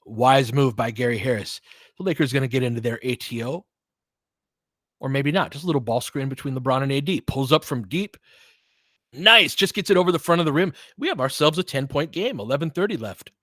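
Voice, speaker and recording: A man's voice: medium pitch, a little fast, fairly bright tone, no room sound, studio quality. A man calling a basketball game live in clipped phrases, with casual, fan-like asides between the plays. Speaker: basketball play-by-play commentator.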